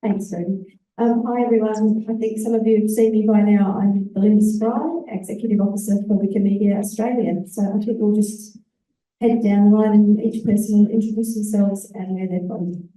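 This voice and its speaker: A woman, an executive officer, giving a relaxed conference presentation with fillers and self-corrections. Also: medium pitch, moderate pace. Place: large conference hall. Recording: echoey hall, voice far from the mic.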